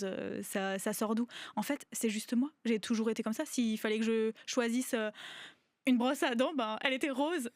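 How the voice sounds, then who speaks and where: A woman hosting a casual panel discussion, bubbly and quick, laughing often between points. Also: fairly high pitch, dry recording. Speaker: podcast host, entrepreneur.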